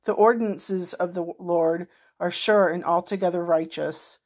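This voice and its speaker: A middle-aged woman with a slightly low voice reads scripture aloud for a worship gathering. She is indoors at home, speaking into a phone at arm's length. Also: fairly even intonation, a little slow, darkish tone. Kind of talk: reading a psalm aloud. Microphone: phone held at arm's length.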